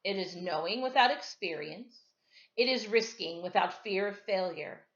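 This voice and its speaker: No-nonsense storybook woman teacher, read by a woman, forceful and brusque, in an echoey room. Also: mid-range voice, normal speed, lightly acted. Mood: stern, declarative. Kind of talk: children's storybook read-aloud. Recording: echoey, slightly dull home recording.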